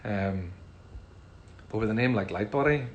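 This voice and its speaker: A middle-aged man with a Northern Irish accent, casually answering fan questions in a relaxed, chatty way with frequent ums. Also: fairly low pitch, measured pace, dull audio, a little hiss or hum. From Northern Ireland. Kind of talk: casual livestream Q&A.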